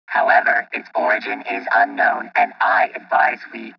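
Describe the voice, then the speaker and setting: Gravelly, filtered robot guardian. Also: male voice, on the low side, monotone, moderate pace, far from the mic, dull audio. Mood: measured, cautious. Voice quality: gravelly, husky, electronically processed. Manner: formal, clipped, analytical speech. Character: calm, cautious robot guardian of two children. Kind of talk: radio play acting.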